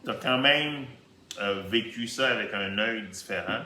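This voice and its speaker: A Québécois man hosts a casual interview in a slow, halting way, often repeating words as he builds a question. His voice is lowish and bright, heard in an echoey room with faint noise. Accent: Québécois French. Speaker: host interviewing a guest. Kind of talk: casual chat. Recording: roomy echo, faint background noise.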